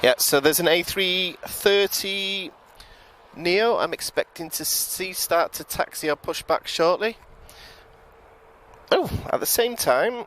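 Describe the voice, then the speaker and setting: A man with a British accent giving relaxed live commentary outdoors at an airport, chatting to viewers with frequent ums and self-corrections. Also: high-pitched, takes it slowly, slight background noise. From United Kingdom. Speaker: live plane-spotting commentator. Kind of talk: live-stream commentary, reading out viewer chat.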